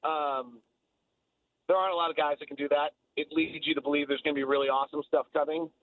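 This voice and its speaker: A man's voice: fairly high pitch, fairly even intonation, quick pace, no room sound, phone-quality sound. A man giving emphatic, opinionated basketball analysis in a sports radio conversation, repeating phrases to drive points home. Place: radio talk show.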